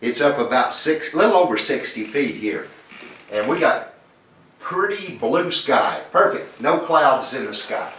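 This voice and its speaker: Male radio amateur, conversational and repetitive, medium pitch, echoey sound. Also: lively intonation, a little slow, fairly bright tone, a little hiss or hum. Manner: chatty, repeats details, spells phonetically. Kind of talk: conversational ham radio contact. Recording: roomy, echoing ham radio audio.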